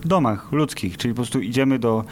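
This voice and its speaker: A man's voice: medium pitch, lively intonation, a little fast. A man co-hosting an interview, speaking in an informal, wry and jokey conversational way. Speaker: co-host interviewer. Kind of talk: conversational, long informal lead-ins to questions. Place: recorded in a cinema. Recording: noticeable background noise.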